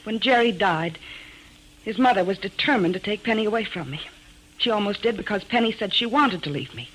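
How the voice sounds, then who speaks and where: A woman's voice: mid-range voice, expressive, a little fast, fairly bright tone, a little hiss or hum, lightly acted, poor audio. A woman playing a bitter, wounded widowed mother in a 1940s radio drama. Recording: old radio drama recording.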